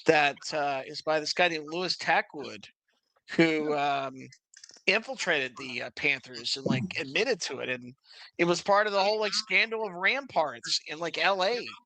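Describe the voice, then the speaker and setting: A man's voice: on the high side, measured pace, bright, forceful tone, poor audio. A man in a casual debate, talking insistently, repeating his phrases and cutting in.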